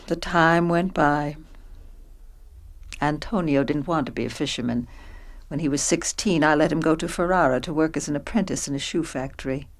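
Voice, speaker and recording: A woman's voice: low-pitched, a little mellow. A woman playing a long-suffering, middle-aged working mother in a radio drama, narrating and speaking in scenes.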